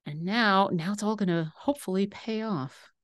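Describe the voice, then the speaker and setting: A woman's voice: medium pitch, normal speed, no echo. A young woman co-hosting a miniature-painting livestream, joking around and reading viewer questions aloud from home. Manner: playful, jokey, reads viewer questions aloud. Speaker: co-host learning to paint miniatures. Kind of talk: casual livestream chat. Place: indoor home room.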